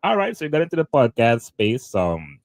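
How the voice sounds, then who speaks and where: A man's voice: medium pitch, wildly swooping pitch, normal speed, gravelly, dry recording, clean studio sound. A man hosting a podcast, asking questions and affirming often with "yeah, man". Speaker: podcast host. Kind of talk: casual interview conversation.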